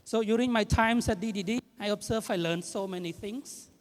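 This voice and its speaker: A man in his thirties from Cambodia with a Khmer accent, giving a halting speech with fillers and self-corrections at an anniversary event. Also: sits high. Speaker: guest speaker. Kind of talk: public speech. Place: large indoor event hall. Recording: reverberant event hall.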